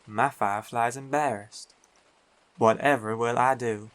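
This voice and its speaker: A man playing a big, teasing, affectionate biker werewolf boyfriend in an intimate roleplay audio, talking in an unhurried, colloquial way. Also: medium pitch, steady tone, a bit bright, close to an everyday voice. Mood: playful teasing.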